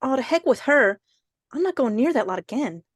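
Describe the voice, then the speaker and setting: A woman's voice: high voice, lively intonation, brisk, no room sound, studio quality. A woman playing a reluctant, skittish young boy in an old-time radio suspense play. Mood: defiant, fed up.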